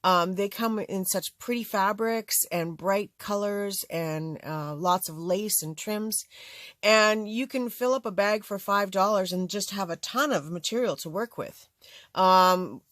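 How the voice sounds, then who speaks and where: An older woman with a slightly raspy voice hosting a casual, rambling craft tutorial, full of ums and asides. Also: medium pitch, a little slow, a bit bright, no room sound, studio quality. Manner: rambling, chatty, many fillers. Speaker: craft channel host.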